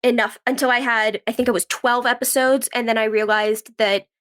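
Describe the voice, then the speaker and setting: Higher-voiced woman in casual conversation. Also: fairly even intonation, fairly quick, a bit bright. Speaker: podcast guest, writer and actress.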